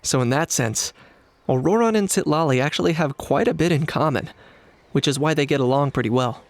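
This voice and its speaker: Man acting calm, pragmatic young warrior. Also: fairly high pitch, slight background noise, lightly acted. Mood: serious, explanatory. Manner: measured, matter-of-fact, serious. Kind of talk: video game voice acting.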